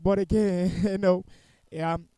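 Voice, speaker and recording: High-pitched male football commentator. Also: fairly even intonation, unhurried, warm tone, clear, resonant tone, dry recording. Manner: critical, opinionated. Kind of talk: live match commentary.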